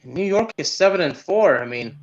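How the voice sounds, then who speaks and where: A man's voice: fairly high pitch, lively intonation, normal speed, lo-fi sound. A man co-hosting a sports podcast, giving casual, opinionated football commentary and repeating words for stress.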